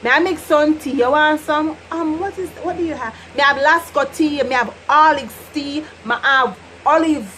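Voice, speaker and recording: A young woman from Jamaica with a Jamaican accent, teaching patois in an animated, laughing casual vlog. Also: sits high, moderate pace, hardly any pauses, a little hiss or hum. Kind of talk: casual chatty lesson.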